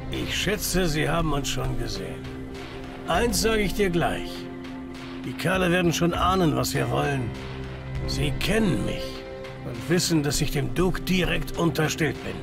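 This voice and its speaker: A man playing a cynical, menacing enforcer in a video game, talking in a gruff, curt, sardonic way. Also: fairly high pitch. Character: cynical, menacing male debt-collecting enforcer. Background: music.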